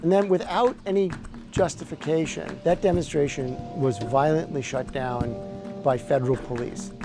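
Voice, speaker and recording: A man, a lawyer, speaking firmly and deliberately in a documentary interview. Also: fairly high pitch, over music. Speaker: lawyer on the case.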